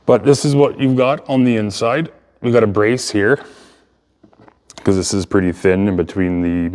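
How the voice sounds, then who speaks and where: Male hobbyist host, low clean voice, informal hands-on explainer delivery, thinking aloud, reverberant room sound. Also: darkish tone, clear, resonant tone. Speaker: hobbyist video host and speaker restorer. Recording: echoey room with long reverb.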